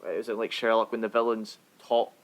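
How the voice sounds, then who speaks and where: A man's voice: lowish voice, flat delivery, a little fast. Casual man hosting a chatty podcast. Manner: informal, chatty, moving topics along. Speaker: podcast host. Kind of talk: casual film news discussion.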